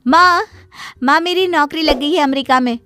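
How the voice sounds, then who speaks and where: A spoiled young cartoon daughter, as a woman voices her, who is bratty and demanding in a high, bright voice. Soft music plays faintly behind her. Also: normal speed. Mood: excited.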